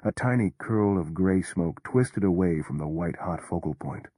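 A man narrating a story in the first person with a measured, understated delivery. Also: sits low, expressive, mellow, no echo, clean studio sound.

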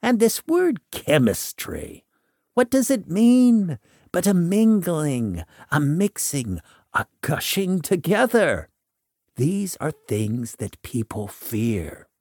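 A man's voice: on the high side, huge pitch swings, slow pace, a little mellow, no room sound, studio quality, pitched above the performer's own voice, heavily acted. A man voicing a drunken, visionary mystic in a dramatic story reading, grandiose, feverish and full of portentous exclamation. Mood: ominous, warning. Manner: declamatory, exclaiming, portentous rhetoric. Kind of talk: dramatic literary audiobook character reading.

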